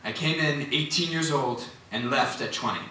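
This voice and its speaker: Man acting a bright, forceful former prisoner. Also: mid-range voice, moderate pace, lightly acted. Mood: defiant, resolute. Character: defiant former political prisoner recounting solitary confinement. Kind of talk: theatrical monologue. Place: indoor stage, recorded from far off. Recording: very echoey, distant stage recording, faint noise.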